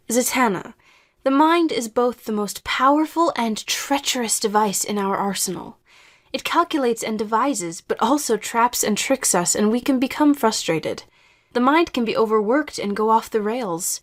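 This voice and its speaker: A woman acting a patient, probing therapist who speaks in a measured, formal way in an audio drama. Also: on the high side, expressive. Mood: calm, coaxing.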